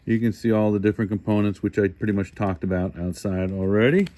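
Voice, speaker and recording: A man's voice: lowish voice, fast talker, warm tone. A man hosting a casual hands-on product walkthrough, talking informally and thinking aloud as he goes. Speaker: host of a hobby radio review channel.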